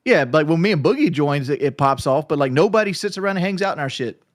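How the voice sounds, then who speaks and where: A man's voice: on the high side, expressive, quick pace, no room sound, clean studio sound. A man co-hosting a livestream, rambling casually with a slight stutter. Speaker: livestream co-host.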